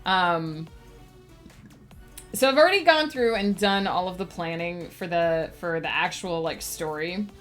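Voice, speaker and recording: Sniffly lady streaming her writing, talks slow and kinda low, rambly with lots of ums, soft music behind. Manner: rambling, thinking aloud with many fillers, chatting to viewers. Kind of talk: casual livestream chat.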